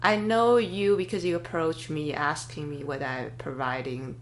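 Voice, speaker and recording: A woman in her thirties with a notably low voice hosts a podcast conversation. She speaks in a thoughtful, halting way, pausing on fillers and restarting sentences as she works out her questions. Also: steady tone. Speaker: podcast host. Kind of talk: conversational interview.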